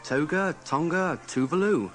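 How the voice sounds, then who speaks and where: A man's voice: a little high, measured pace, loud music behind the voice. A man co-presenting a radio show, reading items aloud on air.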